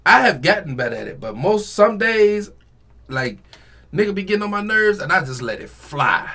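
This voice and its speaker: Lively bright-voiced joking man. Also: high-pitched, normal speed. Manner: joking, teasing, lively interjections. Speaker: podcast co-host. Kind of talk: casual chat.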